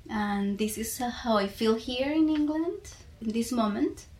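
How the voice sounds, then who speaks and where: A woman's voice: a little high, darkish tone. A woman from Colombia with a Colombian accent, a native Spanish speaker, talking reflectively and searching for words as a guest. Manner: halting, searching for words in a second language. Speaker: podcast guest, poet and teacher. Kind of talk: casual reflective conversation.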